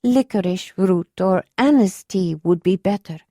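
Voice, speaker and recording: A woman voicing a calm foreign healer with an unusual accent, asking careful, gentle questions. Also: fairly low pitch, unhurried, dry recording, studio quality. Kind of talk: audiobook character voice.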